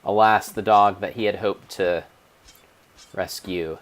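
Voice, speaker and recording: Male narrator, low register, theatrical and grave, reading gameplay as an epic tale. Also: fairly even intonation. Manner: theatrical, solemn, mock-epic delivery with drawn-out words. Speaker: streamer narrating own gameplay. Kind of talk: dramatic storybook narration of a video game.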